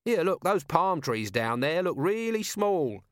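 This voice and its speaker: Scruffy comic corporal acted by a male narrator: cheeky, huffy, needling, higher pitch, lively intonation. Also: a little slow, no room sound, studio quality. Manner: needling, colloquial. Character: cheeky, sulky scruffy corporal insisting he's a woman.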